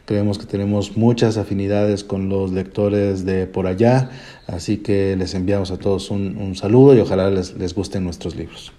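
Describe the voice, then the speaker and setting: Company director: man, Mexican accent, lowish pitch, very mellow dark tone, prepared message delivery. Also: fairly even intonation, normal speed. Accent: Mexican Spanish. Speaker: publishing house director, guest.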